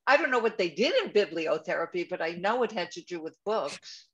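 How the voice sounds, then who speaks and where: A woman's voice: lowish voice, lively intonation, brisk, a bit bright. A chatty woman on a group video call, her voice coming through dull and lo-fi. She talks casually and trails off now and then while hunting for a name. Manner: chatty, trailing off to search for names.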